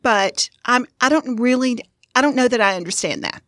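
A woman's voice: on the high side, normal speed, fairly bright tone, dry recording, clean studio sound. A woman with a Southern US accent co-hosting a podcast, chatting casually in a playful, joking way. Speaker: podcast co-host. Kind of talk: casual conversational podcast chat.

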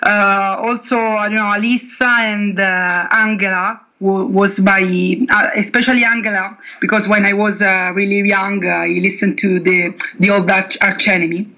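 A young woman with an Italian accent, answering interview questions haltingly, with many pauses and restarts. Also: medium pitch, level tone, bright, forceful tone, rough recording. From Italy. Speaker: metal band singer.

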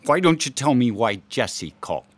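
A man's voice: mid-range voice, very animated, moderate pace. A man acting the part of an irritable, defensive middle-aged husband and professor in a radio drama. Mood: irritated, defensive.

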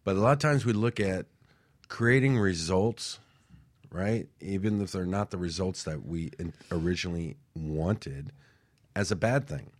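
Man, very low voice, talk-radio co-host, loose rambling delivery that keeps asking "right?". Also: unhurried. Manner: rambling, repeats words, tags lines with "right?". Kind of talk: motivational talk show chat.

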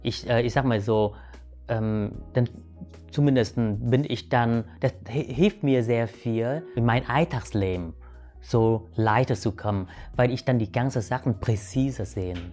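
Halting man. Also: medium pitch, darkish tone, soft background music. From Vietnam. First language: Vietnamese. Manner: halting, many restarts and fillers, asks "weißt du". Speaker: guest. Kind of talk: reflective personal storytelling.

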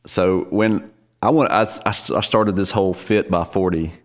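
A man's voice: deep voice, fairly even intonation, measured pace. A man in his forties, a worship leader, talking easily about himself as a podcast guest, self-deprecating and loose. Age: 40s. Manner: easygoing, self-deprecating, many ums and you-knows. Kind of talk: casual interview conversation.

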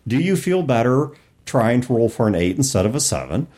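Animated man hosting a casual discussion. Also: mid-range voice, a little fast. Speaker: podcast host.

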